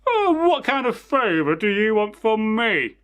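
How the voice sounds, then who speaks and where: A man's voice: sits high, big pitch swings, a little slow, fairly bright tone, smooth, voice pushed up, full character voice. A man plays a prowling storybook cat. The hungry creature is curious, speaking in a musing and mock-polite manner.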